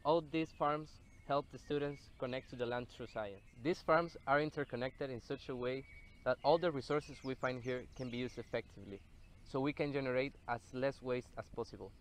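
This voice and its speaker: A young man, reading a scripted video narration in a steady, explanatory way. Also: mid-range voice, normal speed, a little hiss or hum. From El Salvador. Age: young adult. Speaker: student presenter.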